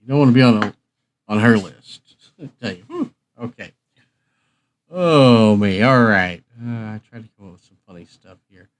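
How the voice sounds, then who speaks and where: A man's voice: mid-range voice, moderate pace. A man hosting a livestream, chatting casually in an informal, joking way. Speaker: livestream host. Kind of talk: casual rambling chat.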